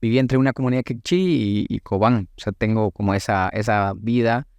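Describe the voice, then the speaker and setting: Low, gravelly Guatemalan man. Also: brisk, a little mellow, dry recording. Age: late 30s. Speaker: politician and political scientist, interview guest. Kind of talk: reflective interview talk.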